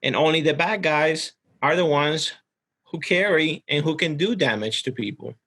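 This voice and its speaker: A man's voice: on the high side, moderate pace, a bit bright. A man from Ecuador speaking as a podcast guest in casual, earnest, plainspoken interview conversation. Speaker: podcast guest, security professional.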